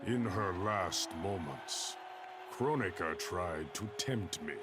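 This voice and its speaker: An actor playing a stern, noble warrior emperor in a video game, speaking in a measured, formal, solemn manner. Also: male voice, low voice, animated. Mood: solemn, resolute. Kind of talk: dramatic video game monologue. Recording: music behind the voice.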